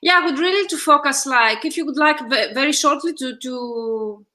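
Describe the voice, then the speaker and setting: A woman with a bright, clear voice hosts a live interview. She asks probing questions and sums up what her guest has said. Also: high-pitched, normal speed. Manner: inquisitive, sums up the guest's points. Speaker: host, business coach.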